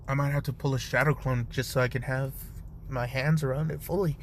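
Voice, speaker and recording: A man voicing a calm young woman ninja who speaks in a hushed, unbothered tone, barely changed from his own voice. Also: medium pitch, fairly quick, natural voice. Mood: matter-of-fact.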